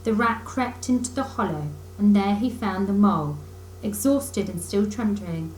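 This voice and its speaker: A woman reading a children's book aloud in expressive storybook narration, like a teacher reading to pupils. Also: medium pitch, measured pace. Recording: echoey room with faint noise.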